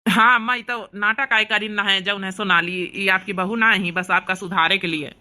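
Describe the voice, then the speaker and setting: A man's voice: high voice, steady tone, a little fast, pushed and bright. A man voicing an earnest, emphatic young village brother with an Awadhi accent in a cartoon comedy. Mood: reproachful. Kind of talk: cartoon comedy drama dialogue.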